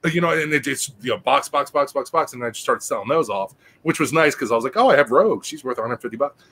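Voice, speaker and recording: Bright-voiced stammering man. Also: mid-range voice, lively intonation, fast talker, slightly rough. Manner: stammering, crude joking. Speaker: podcast co-host. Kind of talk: casual chat.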